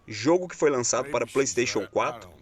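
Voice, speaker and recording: A man's voice: mid-range voice, animated, fast talker. A Brazilian man introducing a gaming video as channel host in a scripted style. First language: Portuguese.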